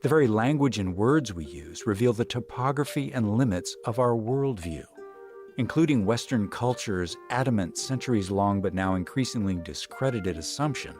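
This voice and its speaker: A man narrating a scripted introduction to the episode in a measured, polished way. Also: lowish voice, darkish tone. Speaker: show narrator.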